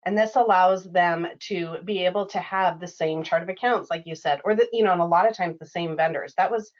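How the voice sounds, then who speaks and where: Woman explaining software on dull webinar audio. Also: fairly low pitch, brisk. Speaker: software company product expert. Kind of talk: conversational product explanation.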